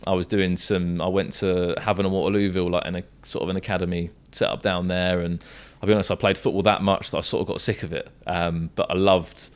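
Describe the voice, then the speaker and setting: Deep-voiced young southern English man. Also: level tone, fast, hardly any pauses, smooth. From south coast of England. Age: young adult. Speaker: esports football commentator and podcast guest. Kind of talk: casual podcast interview.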